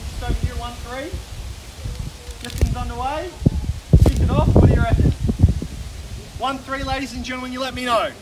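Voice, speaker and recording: Australian male auctioneer, 30s, very high pitch, persuasive repetitive bid-calling, recorded outdoors from a distance with loud street noise. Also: fairly even intonation. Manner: brisk persuasive auction patter, repeating the figures. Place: outdoors on a suburban street in front of a house. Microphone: camera filming from some distance, no mic seen.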